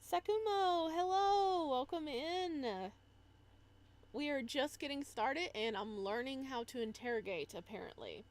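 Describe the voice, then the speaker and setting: A woman's voice: sits high, expressive, measured pace. A woman live-streaming a game in a casual style, reading on-screen text aloud and adding chatty asides.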